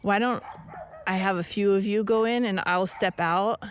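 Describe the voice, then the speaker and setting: Earnest, halting woman guest. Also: medium pitch, slight background noise. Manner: earnest, halting, with many fillers. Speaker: Salvation Army officer. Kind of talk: conversational interview answers.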